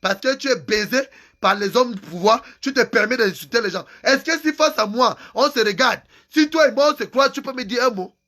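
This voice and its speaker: A man's voice: very high-pitched, brisk, sharp tone. A man giving an angry, impassioned political rant, hammering home repeated phrases. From Cameroon. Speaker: activist vlogger.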